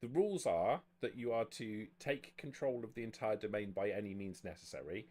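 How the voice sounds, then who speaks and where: A man speaking as game master, explaining the rules of a tabletop roleplaying game in a measured way. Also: lowish voice, expressive, a little mellow.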